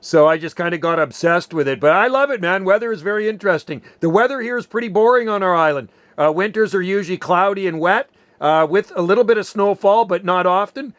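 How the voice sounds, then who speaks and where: Enthusiastic man in his sixties, crisp voice. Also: sits high, brisk, a bit bright, no echo. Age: 60s. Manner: enthusiastic, says "man" often. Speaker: ham radio operator, channel host. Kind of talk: casual radio chat. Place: home on a Pacific Northwest island.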